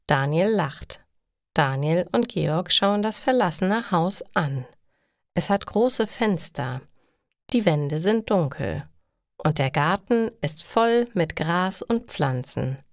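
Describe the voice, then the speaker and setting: A woman's voice: lowish voice, animated, slow pace, no echo, studio quality. A woman reading scripted, simple stories for language learners in careful short sentences.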